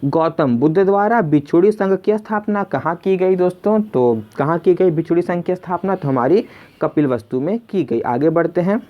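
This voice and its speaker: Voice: medium pitch, expressive, moderate pace. Exam tutor with dull, lo-fi sound. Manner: repeats each question before answering, addresses listeners as friends. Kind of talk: question-and-answer exam lesson narration.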